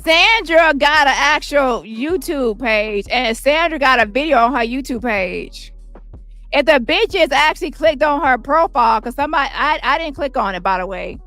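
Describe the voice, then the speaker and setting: A woman streamer ranting with heavy profanity, roasting critics with quick insults in a squeaky voice. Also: lively intonation, bright, forceful tone, dry recording, soft background music. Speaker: commentary streamer. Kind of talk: ranting commentary.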